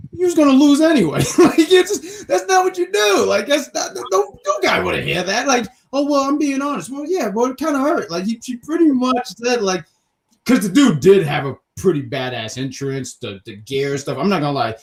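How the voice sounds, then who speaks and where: Animated man joking through casual commentary. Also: extremely high pitch, brisk, creaky. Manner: joking, teasing, rambling with repeated phrases. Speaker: co-host of a wrestling review show. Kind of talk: casual chat, opinionated commentary.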